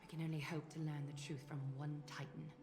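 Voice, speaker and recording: A woman voicing a composed, stately leader in a fantasy video game, speaking in a formal, measured, authoritative way. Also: deep voice, little pitch movement. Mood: measured approval. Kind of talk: English video game dub acting. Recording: echoing game audio under music.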